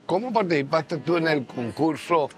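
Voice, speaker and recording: A man's voice: a little high, lively intonation, normal speed, a crowd in the background. An older man from Venezuela hosting a casual interview at a dining table, echoing his guests' answers back. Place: bright room at a dining table. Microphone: lapel mic.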